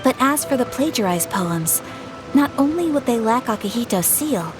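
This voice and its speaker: A woman's voice: a little high, lively intonation, normal speed, close to an everyday voice. A woman playing a refined, courteous young noblewoman in a video game, formal and composed. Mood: poised, earnest. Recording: music behind the voice.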